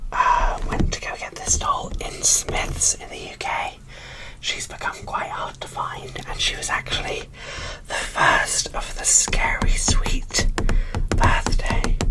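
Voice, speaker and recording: A woman from the UK speaks in a soft, airy whisper as an ASMR unboxing host. Her delivery is slow and drawn out, with pitch that swings widely when any voice breaks through. She sounds a little away from the microphone. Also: far from the mic, low-quality recording. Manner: soft, unhurried, breathy whispering.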